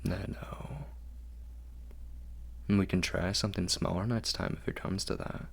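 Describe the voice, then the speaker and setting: A man playing a refined, doting, fastidious vampire lord in an intimate ASMR roleplay, speaking formally and coaxingly in a gravelly, often whispery voice. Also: sits low, fairly even intonation, full character voice. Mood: coaxing, indulgent. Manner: formal, measured, softly coaxing.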